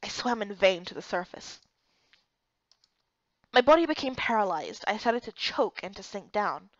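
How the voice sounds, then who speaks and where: A woman giving expressive first-person scripted narration of a fantasy story. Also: mid-range voice, moderate pace, no room sound, dull audio. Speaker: narrator and voice actor for a visual novel playthrough.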